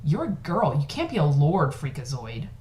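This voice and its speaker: A bossy young boy read by a woman in a storybook reading. He is a teasing, persuasive know-it-all big brother, his pitch a little raised. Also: very animated, normal speed, warm tone.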